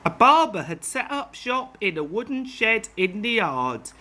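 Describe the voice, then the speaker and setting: Woman narrating a kids' storybook, slow and lively, bright tone. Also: on the high side. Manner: slow, expressive. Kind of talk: children's story reading.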